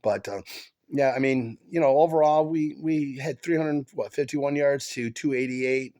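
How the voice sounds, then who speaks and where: A man hosting a casual sports podcast, talking with a stuffed-up head cold, halting with many fillers and restarts as an excited fan. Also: mid-range voice. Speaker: podcast host.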